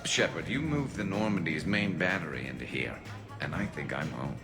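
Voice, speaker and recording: A man's voice: low-pitched, lively intonation, normal speed, loud music behind the voice. A man playing a wry, battle-hardened alien soldier in a video game, musing dryly like a tactician. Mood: dry, scheming. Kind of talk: video game character acting.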